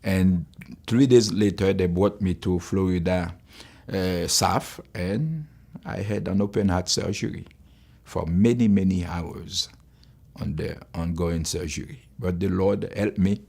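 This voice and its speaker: A man's voice: low voice, unhurried, gravelly, darkish tone, husky. A Haitian-born man in his sixties, a pastor who speaks Creole first, telling his life story earnestly in plain, repetitive English with a Haitian accent. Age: 60s. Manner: earnest, simple phrasing, repeats himself. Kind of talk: personal testimony.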